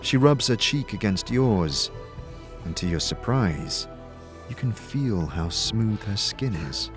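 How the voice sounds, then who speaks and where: A man narrating a fantasy audio drama as game master, addressing the listener in the second person with measured, deliberate, dramatic phrasing. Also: fairly low pitch, animated. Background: music.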